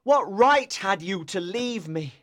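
A woman narrator plays a fierce, tormented man in love in a storybook. The delivery is slow and drawn out, anguished and full of drama. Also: mid-range voice, lively intonation, close to an everyday voice. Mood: tormented, accusing.

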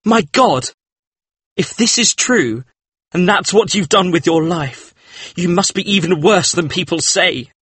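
A man acting an anguished, high-pitched, husky painter. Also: expressive, a little slow, fairly bright tone, dry recording, heavily acted. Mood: agitated, appalled. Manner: agitated, emphatic, pleading. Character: anguished male painter, horrified, pious, pleading. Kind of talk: dramatised audiobook character voice.

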